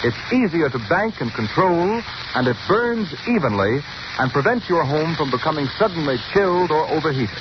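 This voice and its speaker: A man's voice: a little high, expressive, normal speed. A man as radio announcer, reading a commercial and introduction in an emphatic, persuasive 1930s style. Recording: dull old radio broadcast with hiss.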